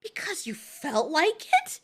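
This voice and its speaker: A woman's voice: high voice, big pitch swings, measured pace. A woman voicing a distressed female giant moth monster in an audio drama, exclamatory, stammering and incredulous. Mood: outraged.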